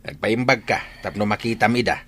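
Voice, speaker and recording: An actor playing a lively, plain-spoken, fatherly older man in an Ilocano audio drama. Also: fairly low pitch, a little fast, a little hiss or hum, natural voice. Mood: animated, urging. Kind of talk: Ilocano radio-style audio drama acting.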